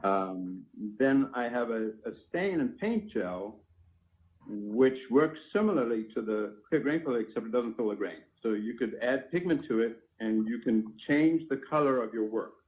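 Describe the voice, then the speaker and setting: A man's voice: medium pitch, expressive, normal speed. A man informally explaining his products on a live call, in a technical, step-by-step way, as their inventor. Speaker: product inventor and company founder. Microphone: phone call. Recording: narrow tinny phone line.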